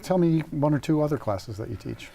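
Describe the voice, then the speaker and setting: A man hosting an interview show, asking questions and closing with a brisk scripted wrap-up. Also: on the high side, lively intonation, gravelly, dark tone.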